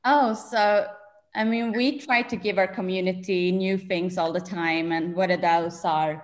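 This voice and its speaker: A woman's voice: fairly low pitch, fairly even intonation, measured pace. A woman from Sweden with a Swedish accent, chatting casually as a guest in an interview and laughing often.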